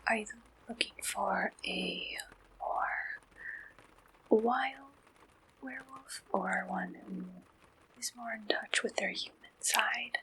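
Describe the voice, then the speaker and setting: A woman performing an ASMR roleplay, gently and deliberately asking questions like an interviewer. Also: on the high side, a little hiss or hum, poor audio.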